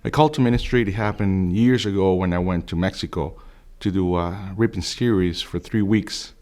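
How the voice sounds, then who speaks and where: Deep-voiced middle-aged man from Venezuela. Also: normal speed, darkish tone. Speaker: ministry student, former church lay-ministry leader. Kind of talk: personal testimony.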